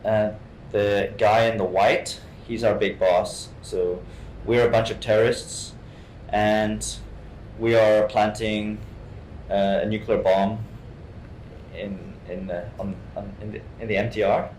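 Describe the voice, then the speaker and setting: Male guest actor from Hong Kong, low voice, halting and repetitive in informal talk, roomy echo. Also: level tone, darkish tone. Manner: halting, repeats words. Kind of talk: informal artist talk, answering questions. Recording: echoey room, faint rumbling noise.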